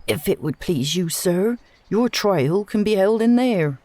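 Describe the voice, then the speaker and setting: A woman's voice: mid-range voice, lively intonation, unhurried, dark tone, no room sound, pitched above the performer's own voice. A woman voicing a nervous, deferential male village elder in a storybook reading, with a meek and respectful manner.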